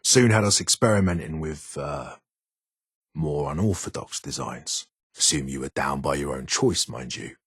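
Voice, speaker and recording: An actor playing a gruff, irritable older ghoul weapons engineer in a video game, with a British accent, a gravelly rasp and a curt, guarded manner. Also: male voice, rumbling low, moderate pace, a bit bright, dry recording, studio quality, full character voice. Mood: gruff, reminiscing. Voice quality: raspy, gravelly. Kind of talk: video game character dialogue.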